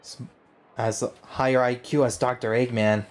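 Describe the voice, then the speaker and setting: A man's voice: medium pitch, little pitch movement, moderate pace, a little hiss or hum. A man giving casual, slangy, reactive commentary while playing a video game as a streamer. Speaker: gaming streamer.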